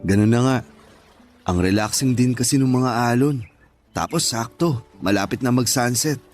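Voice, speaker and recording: A man acts out a sympathetic Filipino friend in a radio drama. He is gentle and flattering, with an average-pitched male voice. Quiet music runs underneath. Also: normal speed, close to an everyday voice. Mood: relaxed, welcoming. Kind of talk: conversational radio drama acting. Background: background music.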